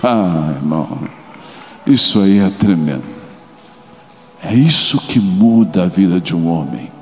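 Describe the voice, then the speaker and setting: A middle-aged man with a Brazilian Portuguese accent preaching a sermon and telling a story, moving between quiet asides and sudden loud exclamations. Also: low-pitched, lively intonation, unhurried. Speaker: pastor. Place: large church auditorium. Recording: live church sound with long reverb and faint music.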